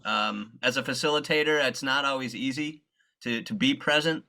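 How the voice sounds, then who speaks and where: A man hosting an interview, speaking earnestly and haltingly with many restarts as he praises and questions his guest. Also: mid-range voice, sharp tone. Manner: hesitant, full of fillers and restarts, earnest. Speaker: interviewer, facilitator.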